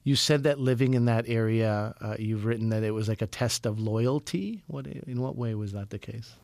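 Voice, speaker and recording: A man hosting a radio book interview, asking steady, measured questions and backing them up with brief affirmations. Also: on the low side, vocal fry. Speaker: radio host.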